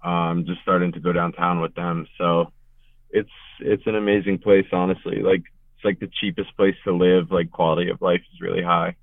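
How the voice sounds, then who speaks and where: Casual young male guest with a low voice, rambling and filler-heavy, heard over a thin phone-like call. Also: flat delivery, normal speed, creaky, no room sound. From Richmond, Virginia. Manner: laid-back, rambling, heavy on filler words. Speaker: guest musician. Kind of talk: casual podcast interview. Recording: tinny, phone-like remote call.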